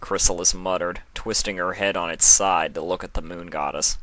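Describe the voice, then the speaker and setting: A man reading scripted story narration as the narrator, in a measured, dramatic manner. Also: low voice, steady tone, sharp tone, no room sound, low-quality recording.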